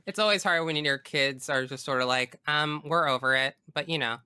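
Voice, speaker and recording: A woman's voice: low voice, moderate pace, bright, forceful tone, clean tone, no echo, studio quality. A young woman chatting casually and emphatically as a guest in an interview. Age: young adult. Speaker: podcast guest. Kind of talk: casual interview chat about video games.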